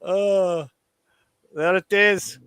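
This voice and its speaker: A relaxed man chats and jokes through a live stream, laughing often. His voice sits high and his pace is unhurried. The sound is dry and close, with no room around it. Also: clean tone, no room sound. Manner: laid-back, joking, laughs often. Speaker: stream co-host. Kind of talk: casual live-stream chat.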